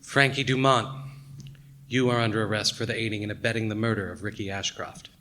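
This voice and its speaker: A man's voice: fairly low pitch, steady tone, fairly quick, pushed and bright. Man playing a firm, formal detective. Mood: stern, official. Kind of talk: radio play acting.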